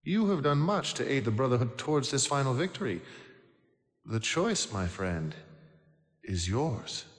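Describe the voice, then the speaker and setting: Slow, brooding megalomaniac villain. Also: male voice, medium pitch, big pitch swings. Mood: solemn, intense. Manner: deliberate, ominous, grandiose. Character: brooding, megalomaniac male villain plotting world conquest. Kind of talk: theatrical video game cutscene acting. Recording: long hall-like reverb.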